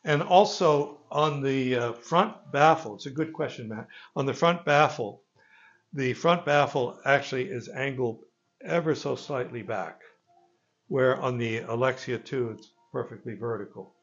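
An older man giving an informal product presentation in a gravelly voice, with halting, hesitant phrasing, recorded on a phone a few meters away. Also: mid-range voice, fairly bright tone, highs cut off. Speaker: loudspeaker company presenter. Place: carpeted listening room with stone wall, indoors. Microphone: phone camera a few meters away.

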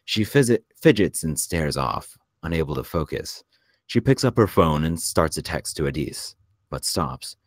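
Very deep-voiced male script narrator. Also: very animated, a little slow, no echo. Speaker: narrator reading stage directions. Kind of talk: scripted narration for a table read.

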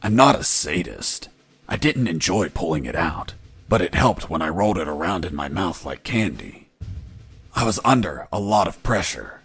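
Low, husky deadpan soldier. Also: male voice, moderate pace, dry recording, faint music behind, exaggerated voice. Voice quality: husky, gravelly. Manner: deadpan, dry. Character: gruff male soldier recounting his prison escape, deadpan wisecracker. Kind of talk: video game voice acting, narration.

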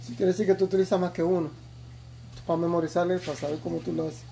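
A man's voice: high-pitched, flat delivery, quick pace, creaky. A man filming a friend's cooking on his phone, asking curious questions in casual chat in a home kitchen. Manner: curious, asks many questions. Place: small home kitchen, indoors. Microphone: phone camera in hand. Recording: phone audio in a noisy kitchen.

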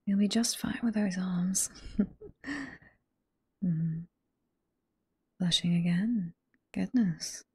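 Casting: actress as teasing older female librarian, British accent, ASMR roleplay. Hushed, very airy whisper, slow and sweet. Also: fairly low pitch, no echo, exaggerated voice. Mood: flirty, teasing. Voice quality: soft, breathy whisper.

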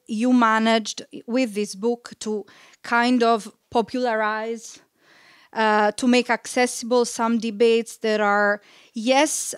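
A woman in her thirties responding to a book at a panel event, speaking carefully into a handheld mic with frequent pauses and restarts. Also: on the high side, clear, resonant tone, no echo, clean studio sound. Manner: careful, hesitant with many uhs and restarts. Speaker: discussant at a panel. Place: event stage in a large room.

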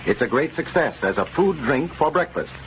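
Male vintage radio announcer, American, very varied intonation, scripted pitch, dull broadcast sound with clear noise. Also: big pitch swings, moderate pace, a bit bright. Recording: muffled, noisy old radio broadcast.

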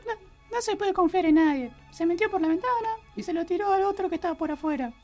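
Tiny high sly teddy bear, argentine, radio comedy. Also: male voice, fast talker, darkish tone, faint music behind. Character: small cuddly foul-mouthed teddy bear, sly. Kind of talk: comic radio play acting.

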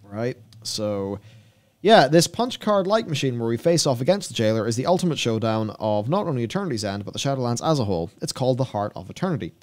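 A man's voice: medium pitch, big pitch swings, quick pace. A young man with a mid-range voice co-hosts a gaming show. He reads from notes and drops into casual, off-the-cuff commentary, speaking close on a studio microphone. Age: young adult. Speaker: co-host of a gaming news show. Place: small indoor studio with acoustic foam panels. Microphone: broadcast mic on a boom arm, close.

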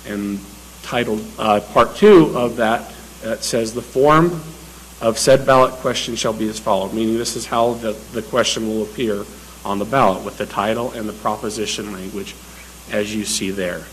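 A man's voice: lowish voice, normal speed, a little hiss or hum, poor audio. A man presenting a resolution at a city council meeting, methodical and hesitant with many fillers. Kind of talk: formal public meeting presentation.

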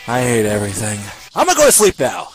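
A man voicing a cynical, self-loathing high-school boy in a sarcastic, easily panicked anime parody dub. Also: wildly swooping pitch, fast, faint music behind. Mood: gloomy. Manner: ranting, sarcastic, foul-mouthed, swinging into yelps.

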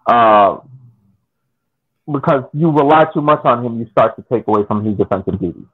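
Male podcast panelist, explanatory and informal, raspy edge, narrow phone-band call audio. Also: mid-range voice, animated, moderate pace. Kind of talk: casual soccer analysis on a podcast. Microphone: video call.

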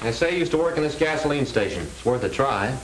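Actor doing slangy young newspaper guy, 1930s film, average pitch, roomy sound. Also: sing-song, fast, noisy background, close to an everyday voice. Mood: frank, concerned. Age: young adult. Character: frank, loyal young newspaperman friend.

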